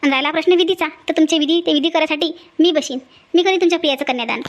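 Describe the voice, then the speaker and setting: A woman's voice: sits high, racing, lo-fi sound, close to an everyday voice. A woman voicing a kindly, bustling village mother in an amateur cartoon comedy, earnest and chatty, with a Varhadi Marathi accent. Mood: earnest, reassuring.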